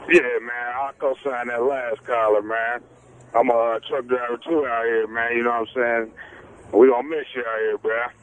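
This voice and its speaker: Relaxed male trucker calling in. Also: medium pitch, moderate pace, clean tone, lo-fi sound. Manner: relaxed slangy talk. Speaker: caller, truck driver. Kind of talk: casual phone call.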